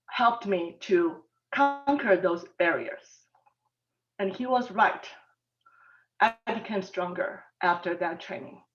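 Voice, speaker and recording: Soft-spoken Taiwanese-born woman, distant lo-fi. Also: medium pitch. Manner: soft-spoken, frequent ums, halting. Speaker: mathematics professor, panelist. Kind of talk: personal talk at an online panel. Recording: distant, dull lo-fi sound.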